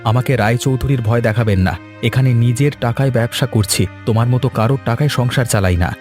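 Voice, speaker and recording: Cartoon folk tale: plucky young eatery son male actor, mellow mid voice, polite but firm, music behind. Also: medium pitch, fairly quick, close to an everyday voice. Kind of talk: animated folk tale voice acting.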